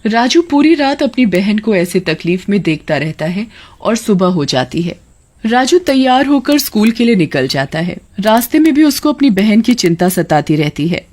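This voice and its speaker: A woman's voice: mid-range voice, very animated, normal speed, darkish tone. A woman narrating a scripted moral tale as a storyteller.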